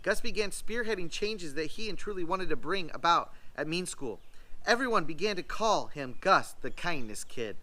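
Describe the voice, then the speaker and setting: A man reading a children's storybook aloud in an expressive, emphatic way. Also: on the high side, moderate pace. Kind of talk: scripted storybook read-aloud.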